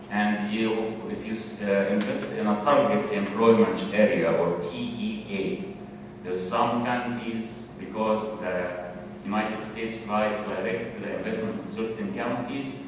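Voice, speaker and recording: A man from Egypt with an Egyptian accent giving a public presentation, halting with fillers and restarts. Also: lowish voice, noisy background. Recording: echoey hall from far off.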